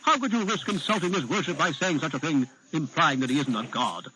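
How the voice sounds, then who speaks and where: A man's voice: on the high side, expressive, a little fast, bright, forceful tone, slight background noise, poor audio. A man voicing a pompous dwarf head clerk who sounds flustered and indignant.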